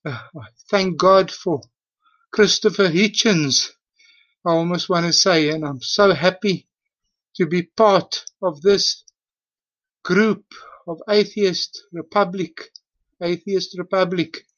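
A South African man in his late fifties speaks slowly in a fairly high voice. He gives an earnest, halting personal testimony, leaning hard on his words. The sound is a dull, lo-fi voicemail recording. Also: fairly bright tone. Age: late 50s. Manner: earnest, halting, emphatic, repeats himself. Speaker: voicemail caller.

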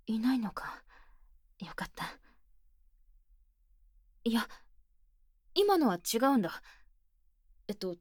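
A woman playing an earnest, shy, tomboyish senior high school girl in an ASMR voice drama, speaking plainly and hesitantly, often near a whisper, close on a binaural mic. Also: big pitch swings, slow, long pauses, husky, dry recording, exaggerated voice. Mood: tentative, curious, then flustered. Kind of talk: Japanese ASMR situation voice drama. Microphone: close binaural microphone, dry studio.

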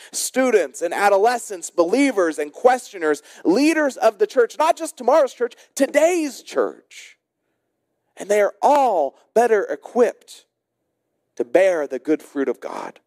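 An American man, a pastor, delivering a sermon in deliberate short phrases with rhetorical repetition. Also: high-pitched, very animated, a little mellow, dry recording. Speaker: senior pastor.

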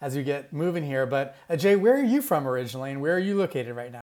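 A man's voice: medium pitch, expressive, quick pace, darkish tone. A man co-hosting a tech podcast, chatty and enthusiastic. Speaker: podcast co-host. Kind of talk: casual podcast chat.

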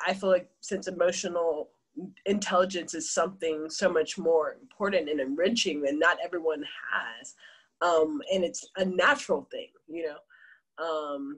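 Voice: medium pitch, a bit bright, slightly rough, rough recording. Thoughtful interviewer on a video call. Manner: thoughtful, reflective, with frequent "um" pauses. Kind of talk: casual conversational interview.

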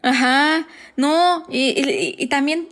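A young woman with a Mexican Spanish accent talks as a podcast co-host, her voice sitting somewhat high. She keeps up an easy back-and-forth and drops in quick agreeing interjections as her partner talks. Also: fairly bright tone. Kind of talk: casual chat.

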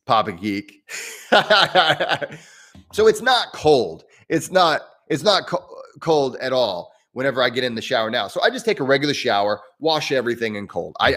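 A man's voice: mid-range voice, a bit bright. Chatty man with a southern Indiana accent. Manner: chatty, rambling, frequent repeated words and restarts. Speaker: livestream host. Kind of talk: casual livestream chat answering viewer questions.

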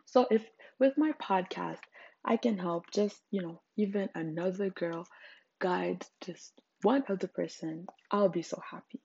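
Zimbabwean woman with a low, husky voice. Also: unhurried, dark tone, muffled recording. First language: not English. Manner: earnest and emphatic, frequent fillers. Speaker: podcast host. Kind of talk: solo podcast monologue.

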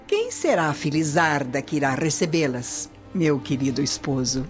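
A woman's voice: sits low, sing-song, moderate pace. Woman acting a scheming roman wife, fake sweet, swoopy radio soap delivery, music behind. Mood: mock-sweet, taunting. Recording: dull lo-fi radio drama audio. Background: clear music bed.

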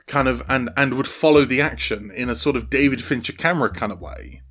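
Male interview guest, mid-range voice. Casual, conversational, halting delivery of someone working out his thoughts as he speaks. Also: medium pitch, fairly bright tone, faint music behind. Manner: halting, thinking aloud. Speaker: audio drama creator interviewed as guest.

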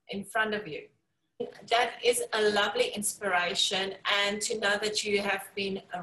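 Woman interviewer, mid-pitched, warm praise for the guest, echoey room sound. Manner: encouraging, complimentary. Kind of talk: interview questions.

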